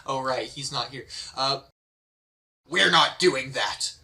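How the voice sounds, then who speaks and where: A man's voice: medium pitch, slow pace, pushed and bright. A man leading an amateur script table read, dropping wry, amused casual asides. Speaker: table read host and reader.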